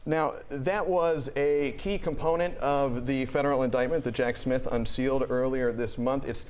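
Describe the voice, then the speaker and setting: An American man in his thirties explaining news live on air as a TV reporter, in a measured, careful manner. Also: medium pitch, fairly even intonation, darkish tone, clean tone. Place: television news studio.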